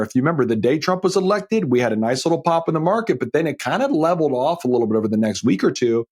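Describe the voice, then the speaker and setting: A man's voice: mid-range voice, slightly rough. An American man hosting a solo financial podcast, talking emphatically and often checking in with his listeners. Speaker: podcast host.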